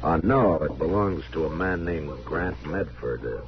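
Frontier lawman, very low register, stern, fair, measured delivery; 1950s radio western, narrow noisy sound. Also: lively intonation, heavily acted. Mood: measured, explaining. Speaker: lead actor in a radio western. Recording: noisy, band-limited 1950s radio recording.

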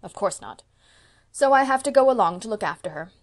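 A woman doing a brisk, proud old spinster. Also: a little high, expressive, voice pushed up, lightly acted. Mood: emphatic. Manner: emphatic, decisive.

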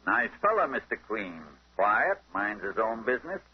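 A man's voice: lowish voice, sing-song, normal speed, breathy edge. An American man playing an older, talkative landlord with a folksy, colloquial manner, in 1930s radio acting. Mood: chatty. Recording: dull old 1930s radio recording.

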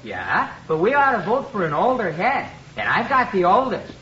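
A performer playing a tall, earnest adolescent boy in a 1950s radio sitcom, deliberate and matter-of-fact, a dry know-it-all. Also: medium pitch, wildly swooping pitch, fairly bright tone. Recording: dull 1950s radio broadcast, faint noise.

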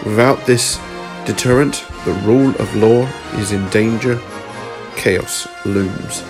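Low-voiced man narrating over music. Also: slow. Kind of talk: scripted story narration. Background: music under the voice.

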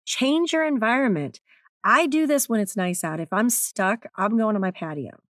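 Raspy woman chatting on her podcast, voice a bit worn, dry close sound. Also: mid-range voice, lively intonation, moderate pace, a bit bright, no room sound. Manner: candid, chatty, self-deprecating. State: strained voice. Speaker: podcast host and author. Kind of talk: solo podcast talk.

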